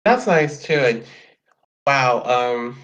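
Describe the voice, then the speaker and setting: A man's voice: mid-range voice, lively intonation, slow, a bit bright. A man hosting a casual podcast interview, rambling and swearing, often slipping into playful quoted voices. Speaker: podcast host. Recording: dull lo-fi sound.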